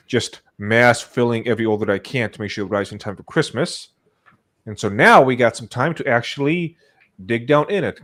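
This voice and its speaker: A man hosting a casual livestream chat, talking in a jokey, playfully self-important way. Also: medium pitch. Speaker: podcast host.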